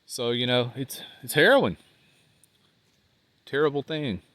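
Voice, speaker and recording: A man with a congested, nasal voice, talking casually to the camera outdoors as a van life vlogger, rambling and trailing off quietly. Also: medium pitch, animated, slow, no room sound. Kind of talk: casual vlog talk while walking. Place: outdoors by a riverbank park.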